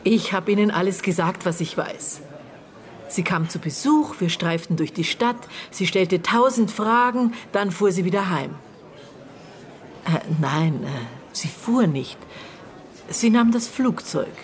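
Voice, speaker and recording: An actress playing a prickly, guarded aunt questioned as a witness in a radio drama, hesitant in recollection and curt in reply. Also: female voice, mid-range voice, measured pace, close to an everyday voice. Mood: guarded, recollecting.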